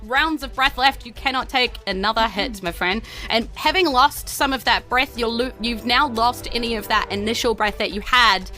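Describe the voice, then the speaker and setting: A woman's voice: fairly high pitch, normal speed, hardly any pauses, bright, forceful tone, faint music behind. A woman with a New Zealand accent running a tabletop fantasy game, narrating informally and playfully with vivid storytelling and casual banter.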